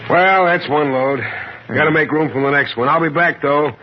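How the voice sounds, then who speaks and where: A man's voice: a little high, normal speed, natural voice. An actor playing a chatty, superstitious coal delivery man in a 1950s radio drama. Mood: chatty, uneasy. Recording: muffled, very noisy old radio recording.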